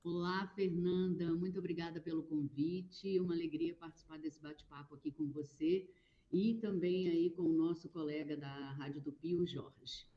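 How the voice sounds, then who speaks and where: A woman's voice: fairly low pitch, fairly even intonation, normal speed, lo-fi sound. A woman who works as a radio producer and presenter, greeting the host as a guest.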